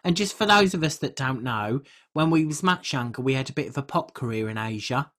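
A podcast co-host with a medium-pitched voice talks in a casual, comic style. The delivery is chatty and rambling, with colloquial grammar that keeps it informal. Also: animated, a little fast, fairly bright tone.

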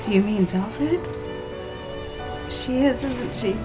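A woman's voice: on the high side, takes it slowly. A woman playing a tormented, pleading wife haunted by voices in an audio drama. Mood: uneasy. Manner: anxious, pleading, repeats herself. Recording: music under the voice.

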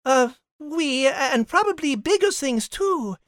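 A woman's voice: high-pitched, animated, takes it slowly, no room sound, clean studio sound. A woman voicing a smart female cat co-host with a prim, patient manner. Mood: explaining. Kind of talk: scripted podcast banter.

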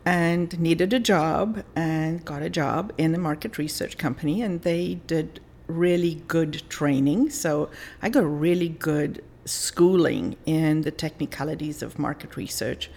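South African woman in her sixties, gravelly. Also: sits low, a little slow, hardly any pauses, darkish tone. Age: 60s. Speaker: life and leadership coach. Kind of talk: podcast interview.

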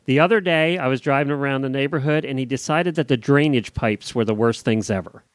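A man's voice: medium pitch, fairly quick, no echo. A man with an American accent co-hosting a podcast, casually chatting and telling stories. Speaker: podcast co-host.

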